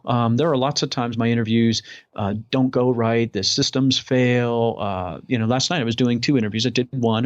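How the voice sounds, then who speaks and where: A man's voice: medium pitch, dry recording. A man talking as a guest in a casual interview, upbeat, enthusiastic and rambling. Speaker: podcast guest. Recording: dull lo-fi audio.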